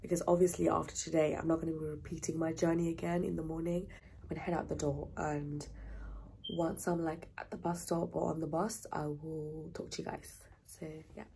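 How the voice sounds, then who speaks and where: Chatty young woman, gravelly. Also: low voice, little pitch movement, brisk, dark tone, slight background noise. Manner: rambling and chatty, with frequent "like" fillers. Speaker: vlogger. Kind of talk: casual vlog chat.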